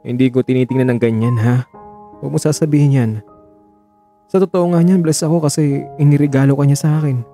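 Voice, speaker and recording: A man's voice: medium pitch, steady tone, a little fast, pauses often, warm tone, soft background music, lightly acted. A man with a Filipino accent acting a devoted, protective young man in a radio drama, reassuring his lover with affectionate mock scolding.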